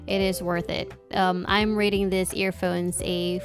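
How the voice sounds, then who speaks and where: A woman's voice: fairly low pitch, level tone, a little slow. A woman giving a casual product review as the host of an unboxing video. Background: background music.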